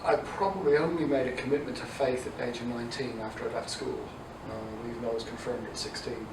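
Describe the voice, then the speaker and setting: A man in his forties from Dublin with an Irish accent softened by English, answering interview questions conversationally as a youth ministry director. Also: medium pitch, steady tone, fast, over music. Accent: Irish mixed with English. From south Dublin, Ireland. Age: 40s. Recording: echoey, distant mic, noisy room.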